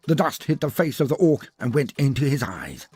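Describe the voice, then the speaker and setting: A man narrates battle fiction in a husky, highly animated voice. He is theatrical, leaning on dramatic pauses and emphasis, with soft music running underneath. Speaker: story narrator.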